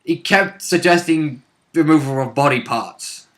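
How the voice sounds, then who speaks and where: A man's voice: on the high side, unhurried, fairly bright tone. A man talking casually as a tabletop game player, in an informal, sweary, colloquial way. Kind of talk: casual chat.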